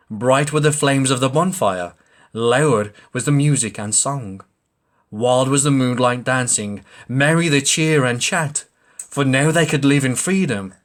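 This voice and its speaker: A man reading a rhyming children's storybook aloud expressively, like a father at bedtime. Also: mid-range voice.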